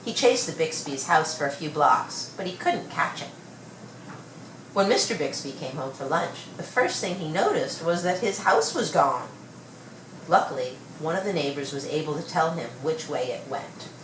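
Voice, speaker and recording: Low-voiced woman reading a storybook, roomy. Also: very animated, moderate pace. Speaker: storybook reader. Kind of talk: read-aloud children's story narration. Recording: roomy, distant mic, faint noise.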